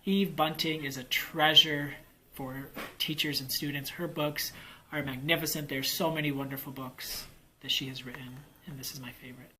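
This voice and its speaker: A man's voice: on the high side, fairly even intonation, moderate pace, sharp tone, low-quality recording. An enthusiastic American man, a teacher casually recommending books in a vlog, emphatic and given to repeating himself for emphasis. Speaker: elementary school teacher and book reviewer. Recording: faint background noise, ambient sound.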